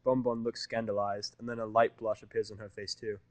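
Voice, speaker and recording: A man's voice: fairly low pitch, flat delivery, fairly quick, no echo. A man narrating a scripted first-person story as an audio fiction reader.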